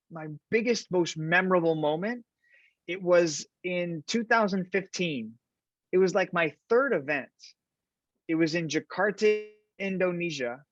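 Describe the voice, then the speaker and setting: A man, a fight commentator, chatting in an interview and telling lively stories, tagging his sentences with "right?". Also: sits high, no room sound. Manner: animated storyteller, fillers like "right?". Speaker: podcast guest, fight commentator. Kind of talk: casual interview chat. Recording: dull lo-fi sound.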